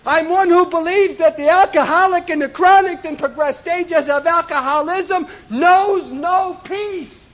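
A man giving an impassioned personal testimony at a recovery meeting, often shouting for emphasis. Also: very high-pitched, a little slow, hardly any pauses, dull audio. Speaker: recovery speaker.

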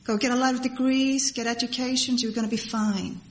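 A middle-aged woman from Iceland, giving personal testimony to a crowd in a halting, rambling way and repeating phrases for emphasis. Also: fairly high pitch, steady tone. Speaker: speaker at a recovery meeting. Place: large meeting hall before a crowd. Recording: echoey hall with background noise.

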